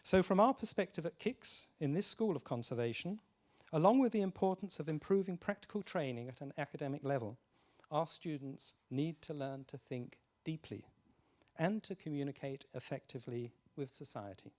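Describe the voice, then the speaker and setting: A man delivering a prepared opening speech at a conference, with measured, rhetorical and deliberate phrasing. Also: on the high side, expressive, a little mellow. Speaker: academic host introducing a conference.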